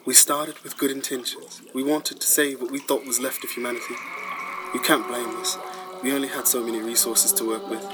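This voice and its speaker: A man playing a grave professor leaving recorded warnings in a sci-fi radio drama, lecturing in a measured, ominous way. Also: mid-range voice, level tone, music in the background, natural voice. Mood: somber recounting. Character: grave male scientist professor leaving recorded survival instructions.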